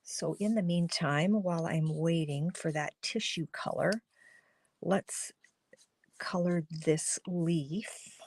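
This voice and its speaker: Woman, hoarse and froggy, gravelly low voice, unhurried chatty crafting talk. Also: darkish tone, dry recording. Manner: chatty, thinks aloud while coloring, greets viewers by name. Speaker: card-making live stream host. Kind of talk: casual live crafting demo talking to viewers.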